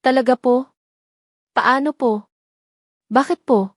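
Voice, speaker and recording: A woman's voice: high-pitched, fairly even intonation, slow, dry recording, clean studio sound, voice pushed up. A woman voicing a humble, anxious young nurse in an audio drama, polite and pleading. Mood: hopeful, timid.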